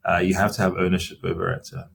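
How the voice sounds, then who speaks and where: A middle-aged man, a company finance chief, answering interview questions in a measured, explanatory way with frequent fillers. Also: deep voice, little pitch movement, gravelly. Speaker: company CFO as podcast guest. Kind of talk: interview conversation.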